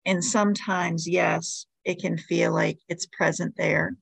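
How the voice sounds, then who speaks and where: Woman, meditation guide, very slow delivery, creaky vocal fry, soothing gentle instructions, long pauses. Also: lowish voice, fairly even intonation, no room sound. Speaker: podcast host, meditation guide.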